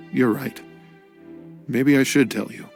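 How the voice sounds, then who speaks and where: An actor playing a gentle male scholar and game creator in a game cutscene, soft-spoken and reflective. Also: medium pitch.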